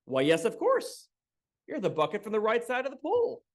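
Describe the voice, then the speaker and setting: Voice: mid-range voice, wildly swooping pitch, a little fast, pitched above the performer's own voice. A storyteller voicing a lonely old woman who answers a talking bucket with polite surprise. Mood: puzzled. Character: old woman living alone, puzzled but calm.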